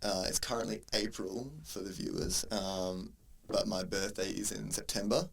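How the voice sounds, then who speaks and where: A man in his twenties with an Australian accent, co-hosting a casual podcast, friendly and measured as he asks and answers questions. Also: fairly low pitch, fairly even intonation, vocal fry, slightly rough. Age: 20s. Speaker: podcast co-host, university tutor. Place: university room.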